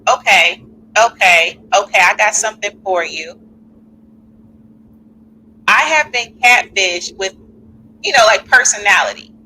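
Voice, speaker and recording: A woman hosting a live call-in podcast, chatty and animated, with speech and reading out listener comments. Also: mid-range voice, unhurried, pauses often, slight background noise, low-quality recording. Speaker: podcast host.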